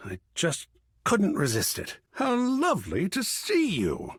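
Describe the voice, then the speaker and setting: An effusive art curator from a video game, with a wildly swooping pitch and a slightly husky edge. He is refined, fussy and theatrical, lingering over ornate phrases. The recording is dry and close. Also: huge pitch swings, slow, no room sound, full character voice. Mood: proud, welcoming. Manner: florid, fussy, swooping, savoring words. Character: effusive, refined male art curator, an artwork come alive. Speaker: gallery curator character.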